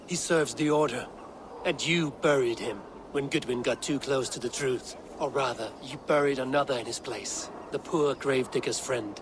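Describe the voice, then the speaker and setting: An actor playing a stern, shrewd Viking warrior, speaking in a measured, blunt, probing way. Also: male voice, medium pitch, background noise, lightly acted. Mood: accusing. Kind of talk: dramatic video game acting.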